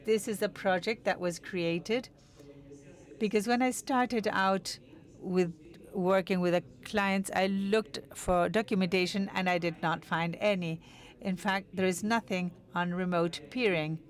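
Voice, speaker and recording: A woman giving live interpretation of a technical conference talk, measured, with pauses and self-corrections. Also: medium pitch, steady tone.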